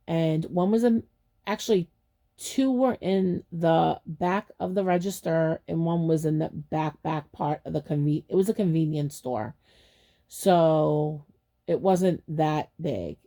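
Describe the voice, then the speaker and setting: A woman's voice: deep voice, warm tone. A woman hosting a faceless storytime channel, telling a personal story in a rambling, chatty way and acting out her own reactions. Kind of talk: casual storytime monologue.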